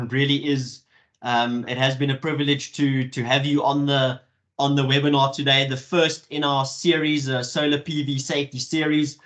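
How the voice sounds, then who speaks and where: A man moderating an online webinar, speaking in a halting way with many fillers and restarts over a video call. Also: mid-range voice, a bit bright. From South Africa.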